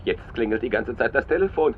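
An actor playing an anxious, hesitant publisher in a German radio drama, heard over a phone line. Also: male voice, mid-range voice, lively intonation, fast, natural voice. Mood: alarmed, uneasy. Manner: hesitant, agitated. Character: anxious male book publisher, flustered bearer of bad news. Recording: dull, band-limited phone line, faint noise.

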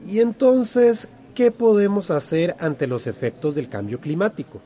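A man's voice: high voice, big pitch swings, unhurried, mellow, heavily acted. A man with a Central American accent playing an encouraging community workshop teacher in a radio drama, affirming answers and prompting with questions. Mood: affirming, explaining. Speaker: workshop facilitator. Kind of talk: radio drama acting as a lively classroom lesson.

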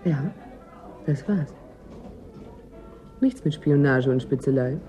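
An actress playing a sharp-tongued, evasive woman agent in a radio drama, with a needling, guarded manner. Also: very deep voice, animated, takes it slowly, dull audio, faint music behind, exaggerated voice. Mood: guarded, composed. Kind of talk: German radio play acting.

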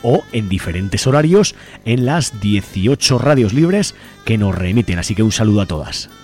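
A man's voice: fairly low pitch, sing-song, normal speed, darkish tone. A man with a Spanish accent from Spain, presenting a community radio programme in a partly improvised way. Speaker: radio host. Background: music bed.

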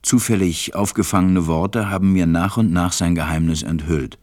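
A man as a deep-voiced, serious stranded pilot. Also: steady tone, normal speed, a little mellow, no echo, clean studio sound, natural voice. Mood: serious, reflective. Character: stranded adult male pilot, serious narrator recalling events. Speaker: narrator. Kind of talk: storytelling narration in an audio drama.

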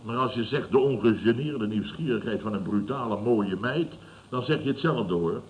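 A man's voice: lowish voice, expressive, normal speed, close to an everyday voice. An actor in his fifties playing a self-satisfied, blustering building boss in a vintage radio comedy, chuckling and repeating himself. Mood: smug, amused. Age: 50s. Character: gruff, self-satisfied older male building boss telling tales. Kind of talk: 1970s Dutch radio comedy acting. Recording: muffled, noisy vintage radio recording.

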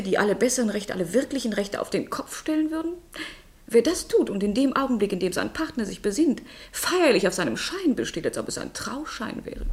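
A woman's voice: mid-range voice, gravelly. A haughty, witty, mocking noblewoman. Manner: mocking, eloquent, formally polished. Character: haughty, witty, flirtatious noblewoman rebuking her married lover. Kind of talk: German literary radio play acting.

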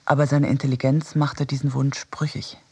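A man reading literary radio prose in a measured, reflective manner. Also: medium pitch, little pitch movement, husky. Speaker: narrator.

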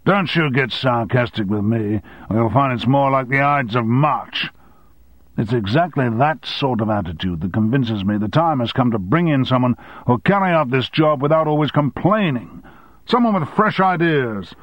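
A man playing a blustering, domineering, short-tempered male boss who shouts threats, on a dry, slightly dull recording. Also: mid-range voice, lively intonation, normal speed, dry recording, pitched above the performer's own voice. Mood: angry.

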